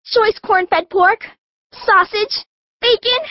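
A performer voicing a small, earnest, excitable young pig in a children's audiobook, with emphatic delivery. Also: very high-pitched, drawn-out words, no echo, full character voice. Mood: tearful dread. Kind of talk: audiobook character acting. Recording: dull, lo-fi audiobook sound.

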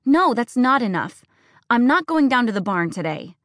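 A woman's voice: fairly high pitch, sing-song, normal speed, fairly bright tone, no room sound, studio quality, voice pushed up. A woman voicing a defiant, tearful teenage farm girl who pleads and accuses. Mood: pleading, defiant.

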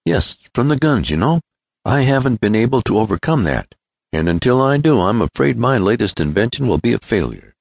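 A man's voice: mid-range voice, very animated, a little fast, gravelly, no echo, clean studio sound, pitched above the performer's own voice. A man voicing an earnest, confident young male inventor in an adventure storybook, explaining things keenly. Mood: frustrated. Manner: explanatory, keen.

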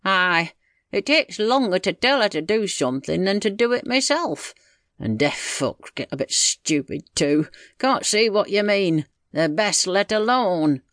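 A woman voicing a gruff, impatient old village husband with a rural English dialect in a story reading. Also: mid-range voice, lively intonation, a little slow, fairly bright tone, dry recording, studio quality, voice pushed up. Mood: grumbling. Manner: grumbling, bawling, rustic.

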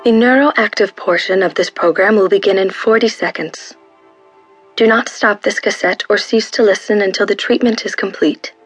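A husky female announcer played by an actress delivers procedural instructions on a tape in an audio drama. She is impersonal, authoritative and matter-of-fact, recorded close and dry with music behind her. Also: medium pitch, normal speed, no room sound, natural voice, low-quality recording. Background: faint music.

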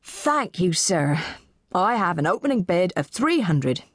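A timid country auctioneer, a man played by a woman narrator, formal and apologetic as he tries to keep command. The pitch swings widely in this dry audiobook reading. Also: very animated, unhurried, dry recording. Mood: polite, persistent. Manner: formal, apologetic, fragile authority. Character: timid, flustered, polite male country auctioneer.

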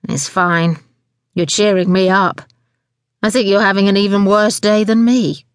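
A woman's voice: mid-range voice, level tone, measured pace, clear, resonant tone, no echo, clean studio sound, lightly acted. A woman voicing a weary, charming man in an audiobook, with a confiding manner. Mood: wry, kind. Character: gloomy, put-upon, charming man, a television personality.

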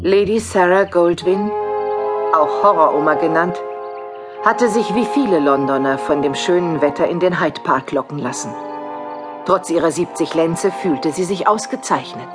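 Female narrator, low-pitched voice, scripted storytelling, audio drama, loud music underneath. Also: moderate pace. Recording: prominent music bed behind.

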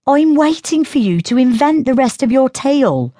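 A woman voicing a sharp-tongued young sister with mocking, quick retorts. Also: high voice, animated, dry recording, clean studio sound, pitched above the performer's own voice. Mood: teasing.

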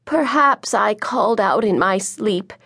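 A woman's voice: mid-range voice, lively intonation, a little slow, vocal fry, fairly bright tone, husky, no echo, clean studio sound, pitched above the performer's own voice, full character voice. A woman voicing a spoiled, sly little boy in a storybook, self-pitying and affected. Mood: feigned weariness.

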